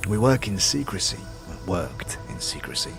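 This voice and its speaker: An actor playing a talking skull, a dead anarchist who is bitter, conspiratorial and speaks in streetwise slang, in a fantasy audio drama. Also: male voice, lowish voice, very animated, moderate pace, vocal fry, music in the background. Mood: fervent, secretive. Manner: streetwise slang, scornful, guarded.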